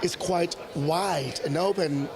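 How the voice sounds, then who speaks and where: A man hosts a live event. His English is halting and he often repeats phrases. A busy crowd chatters clearly behind him. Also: a little high. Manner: halting non-native English, repeats himself. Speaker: event host. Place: busy public forum venue.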